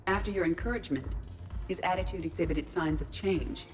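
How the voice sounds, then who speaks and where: An actress playing an earnest, literal-minded female AI in an android body in a video game, with formal, measured diction. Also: lowish voice, soft background music, close to an everyday voice. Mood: earnest, reflective. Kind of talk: scripted video game dialogue.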